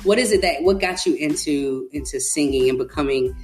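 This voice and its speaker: A woman's voice: deep voice, brisk, darkish tone, faint music behind. A woman hosting a podcast interview, upbeat and encouraging, with casual affirmations and some fillers. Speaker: podcast host.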